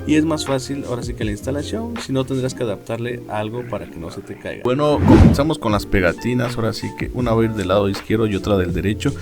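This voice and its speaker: A man's voice: medium pitch, animated, quick pace, hardly any pauses, over loud music. A man with a Mexican Spanish accent casually unboxing and explaining motorcycle gear, chatty and full of slang and filler phrases. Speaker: moto vlogger.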